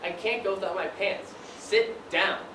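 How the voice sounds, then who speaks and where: A woman acting in an amateur stage play as an earnest, stubborn young gentleman, polite and pleading. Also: mid-range voice, measured pace, lightly acted. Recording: roomy stage recording, distant, noisy.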